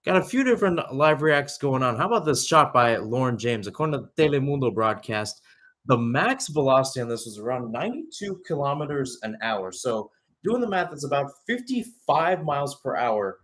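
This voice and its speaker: A man's voice: medium pitch, very animated, brisk, a bit bright. A young man doing live radio-style soccer play-by-play, with conversational analysis that wanders and corrects itself. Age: young adult.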